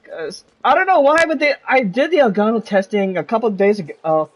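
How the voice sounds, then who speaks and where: A man's voice: sits high, expressive, a little fast. A man giving casual gameplay commentary as a streamer, rambling and trailing off mid-thought. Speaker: game streamer. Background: game music behind the voice.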